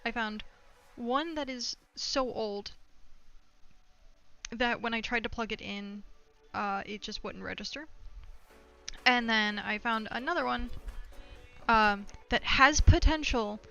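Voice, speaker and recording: A woman's voice: a little high, slow pace, vocal fry, a bit bright, clear, resonant tone, highs cut off, faint music behind. A woman livestreamer chatting casually to her viewers, rambling and thinking aloud as she goes. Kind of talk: casual chat to stream viewers. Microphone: streaming microphone.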